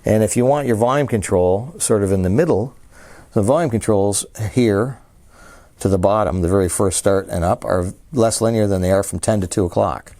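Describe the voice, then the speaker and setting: Deep mellow guy excitedly walking you through a preamp's knobs and switches, casual demo talk. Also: moderate pace, dry recording. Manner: enthusiastic, explains features while handling gear. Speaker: audio dealer presenting a product.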